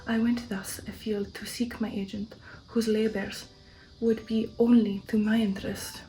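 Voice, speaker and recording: A woman reader gives voice to an old aristocratic count from a gothic storybook, formal and insistent. The delivery is mellow and even, in a deliberate ASMR manner, with faint music underneath. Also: mid-range voice, close to an everyday voice, low-quality recording. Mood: formal, persuasive. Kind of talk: ASMR audiobook character reading.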